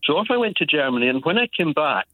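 A Scottish man calling a radio phone-in, measured and articulate, laying out his story carefully. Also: a little high, big pitch swings, dry recording. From Scotland. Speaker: radio caller. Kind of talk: phone-in chat. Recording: tinny phone line.

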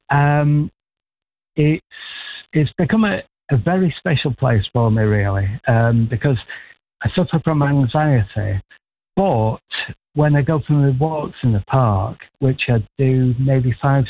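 A sixty-year-old man from Manchester rings in to a radio show and rambles warmly about his life. His voice sits at an ordinary pitch. It comes through a thin, tinny phone line. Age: 60s. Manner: warm, rambling, chatty. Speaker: caller. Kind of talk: casual phone-in chat. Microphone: telephone.

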